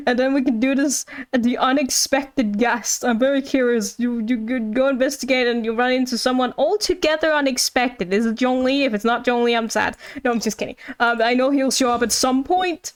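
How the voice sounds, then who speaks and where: Giggly, high-pitched woman streaming games. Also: normal speed. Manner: rambling, thinking aloud; giggly, playful, self-deprecating. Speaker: livestreamer. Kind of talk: casual chatty game livestream commentary.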